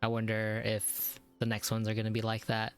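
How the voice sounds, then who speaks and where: A man's voice: fairly low pitch, completely flat delivery, normal speed, soft background music. A man giving casual, slangy, amused commentary while playing a game. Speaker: gamer reacting to game. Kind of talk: casual gameplay reaction commentary.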